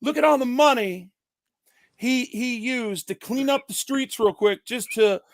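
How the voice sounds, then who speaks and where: High-voiced man ranting bluntly. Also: unhurried, no echo. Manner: blunt, emphatic, swears freely. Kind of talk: casual political chat.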